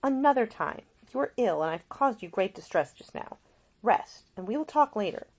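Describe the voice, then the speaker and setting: A woman's voice: mid-range voice, animated, moderate pace, a little mellow, pitched above the performer's own voice. A woman voicing an independent, caring woman in love, soothing yet firm, in audiobook character dialogue. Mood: gentle.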